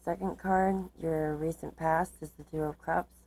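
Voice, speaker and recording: A woman giving a tarot card reading, reading aloud and explaining in a measured, halting way, her voice slightly starting to give out. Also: sits low, steady tone, a little mellow, poor audio. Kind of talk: tarot reading, part read aloud from cards, part informal explanation.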